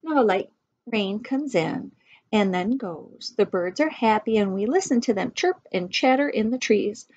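A woman's voice: medium pitch, animated, a little slow, a little mellow, slightly rough. A middle-aged woman reads a picture book aloud, adding explanatory asides and pointing things out for children. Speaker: read-aloud teacher. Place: small room at home. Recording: dull lo-fi webcam sound.

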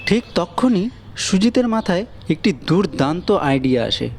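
A man's voice: sits high, very animated, normal speed, slight background noise. A man reading scripted narration for a cartoon folk story.

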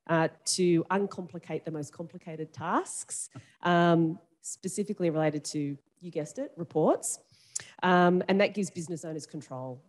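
A woman hosting a morning talk event, introducing the guest speaker in a friendly way with frequent pauses. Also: sits low, darkish tone. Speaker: event host.

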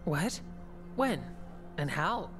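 A woman's voice: low-pitched, lively intonation, extremely slow, music in the background, exaggerated voice. An actress playing a practical, resolute female dwarf warden in a video game, firm and direct. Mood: concerned. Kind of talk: video game dialogue acting.

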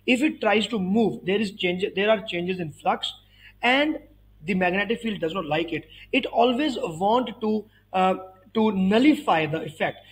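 High-pitched man teaching physics. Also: poor audio. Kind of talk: explanatory tutorial lesson.

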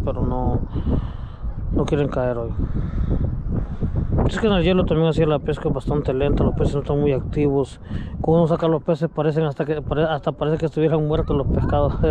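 A man, talking casually to his viewers while fishing outdoors on ice and by a creek. He is chatty and gets excited when the fish bite. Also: fairly high pitch. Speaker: fishing vlogger. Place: outdoors on a frozen reservoir and by a creek. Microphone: action camera worn on the body. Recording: wind and outdoor noise in the background.

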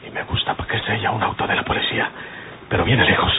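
An actor playing a wary, cunning bandit with a rural northern Mexican accent in an old radio drama, giving terse, urgent instructions. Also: male voice, quick pace, noisy background, lightly acted. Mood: tense, cautious. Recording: tinny old radio recording with loud music.

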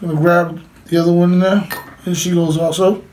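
A man's voice: sits high, little pitch movement, fairly quick, darkish tone. A middle-aged man talking casually and plainly to camera as he gives a hands-on demonstration indoors. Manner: informal, plain-spoken. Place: indoors, small room with cloth backdrop. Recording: clearly noisy home recording.

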